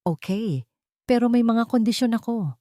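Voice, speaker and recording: A woman's voice: fairly high pitch, animated, moderate pace, dark tone, no echo, studio quality, voice pushed up, close to an everyday voice. A woman voicing a proud, wary mother in a story, firm and guarded in manner.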